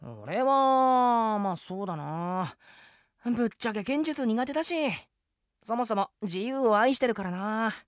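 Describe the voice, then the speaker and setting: A woman's voice: fairly high pitch, expressive, a little slow, dry recording, studio quality. Carefree, flippant young male wanderer. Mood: urging, casual. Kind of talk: animated radio drama acting.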